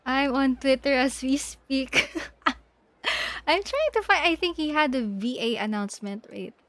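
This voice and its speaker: A woman's voice: high voice, expressive, unhurried, a bit bright, no room sound. A woman livestreamer giving casual game commentary, thinking aloud and often repeating her words. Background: faint game music.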